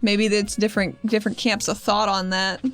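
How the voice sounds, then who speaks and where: A woman's voice: medium pitch, level tone, normal speed, soft background music. A woman talking casually as a player, improvising descriptions of her character in a tabletop role-playing game. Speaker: player on a tabletop RPG podcast.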